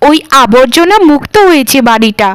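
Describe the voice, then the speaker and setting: Woman playing a shrill-pitched, vain young village daughter. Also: animated, a little fast, a bit bright, clean tone, dry recording. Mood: smug, gloating. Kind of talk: Bengali cartoon voice acting.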